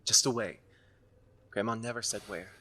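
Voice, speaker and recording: Man playing an anxious, bright-voiced, irritable young woman. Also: on the low side, fairly even intonation, normal speed, a little hiss or hum. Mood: hushed, grave storytelling. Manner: sarcastic, defensive, intense. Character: anxious, irritable young woman haunted by grandmother's witch tale. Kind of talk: audio drama acting, horror storytelling.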